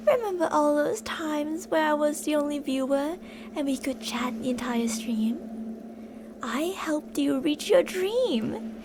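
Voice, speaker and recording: A woman's voice: sits high, expressive, measured pace, background noise. A woman playing an obsessive, possessive female fan turned kidnapper in an intimate, dramatic ASMR roleplay monologue. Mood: hurt, wistful. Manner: intimate, swinging between insistent pleading and soft whispery menace.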